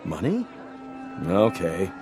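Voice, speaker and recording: Pushy producer, brash, persuasive, lively pitch, radio drama. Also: male voice, takes it slowly, crowd noise, noisy background, full character voice. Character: pushy, blunt male film producer.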